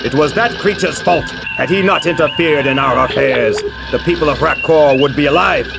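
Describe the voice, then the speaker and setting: An actor playing an imperious alien warrior admiral in a video game, stern and declamatory, with a gravelly voice. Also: male voice, a little high, moderate pace. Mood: self-righteous. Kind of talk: scripted science fiction game acting. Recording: loud music under game dialogue.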